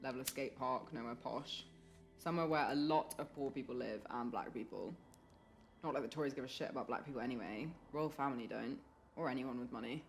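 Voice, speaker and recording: An actor playing a prickly, outspoken young British friend in a naturalistic radio play, sarcastic and sweary. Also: male voice, mid-range voice, brisk, gravelly, faint music behind, close to an everyday voice. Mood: conversational.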